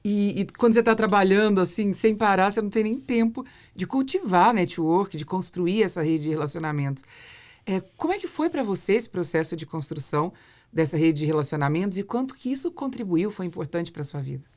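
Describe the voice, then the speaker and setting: A woman's voice: mid-range voice, animated, brisk, a little mellow. A Brazilian woman hosting a casual podcast interview, speaking in an agreeable, conversational way. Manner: agreeable, frequent short affirmations. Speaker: podcast host.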